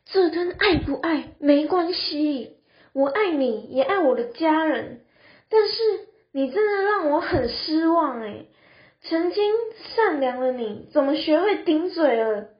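Slow, high girl playing a whiny young husband. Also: lively intonation. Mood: disappointed. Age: teens. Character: whiny young husband, a coddled mama's boy. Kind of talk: amateur radio drama acting. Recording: muffled, roomy lo-fi recording.

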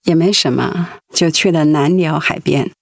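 An actress playing a gentle, anxious wife who cares for her sick husband in a Mandarin radio drama. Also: deep voice, moderate pace, vocal fry. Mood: gentle, caring. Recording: music under the voice.

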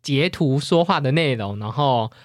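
A man with a Taiwanese Mandarin accent co-hosting a podcast in casual, humorous chat, animated and prone to laughing. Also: medium pitch, normal speed, dry recording, studio quality. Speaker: podcast co-host.

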